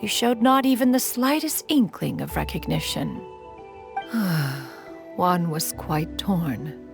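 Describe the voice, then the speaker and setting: A woman voicing a proud ancient immortal in a video game, telling a story in a formal, archaic, measured and haughty manner. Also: fairly low pitch, lively intonation, gravelly, music in the background, exaggerated voice. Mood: reflective, dignified. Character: proud, stern ancient female immortal bird adeptus, secretly tender.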